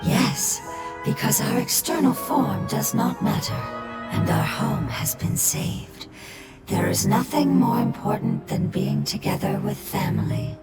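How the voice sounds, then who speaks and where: An actress playing a serene, compassionate otherworldly creature in a video game, breathy and hushed, with tender formal speech. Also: female voice, fairly high pitch, lively intonation, unhurried. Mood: tender, consoling. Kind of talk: scripted video game dialogue. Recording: echoing voice under loud game music.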